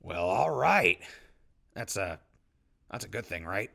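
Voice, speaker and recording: An actor playing a friendly, joking wasteland survivor in an audio drama, casual and slangy with a gravelly voice. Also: male voice, lowish voice, big pitch swings, slow, sharp tone, full character voice. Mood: upbeat. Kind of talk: post-apocalyptic audio drama acting.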